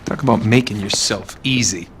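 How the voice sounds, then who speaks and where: A man's voice: fairly low pitch, moderate pace, background noise, close to an everyday voice. A man acting an easygoing adventurer thief in an action game, with a dry, casual, wisecracking manner. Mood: wry, suspicious. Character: easygoing, wry male adventurer thief, improvising rogue. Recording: long reverb, loud music behind.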